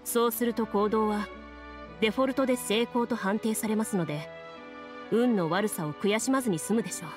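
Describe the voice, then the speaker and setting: A woman's voice: medium pitch, lightly acted. Composed, formal young woman actress as game master. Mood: calm, formal. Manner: calm, formal, deliberate explaining. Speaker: game master narrating a tabletop game. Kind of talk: anime video game acting, storytelling narration. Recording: music under the voice.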